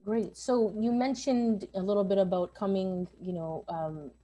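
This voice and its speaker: A woman moderating an interview, asking questions in measured, considered phrases. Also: medium pitch, steady tone, mellow. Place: live online video call.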